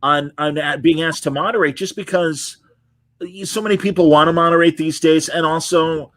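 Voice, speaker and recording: A man's voice: fairly high pitch, fairly even intonation, moderate pace, a bit bright, no echo. A middle-aged man hosting a casual interview, chatting in an informal, enthusiastic way. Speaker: podcast host and interviewer.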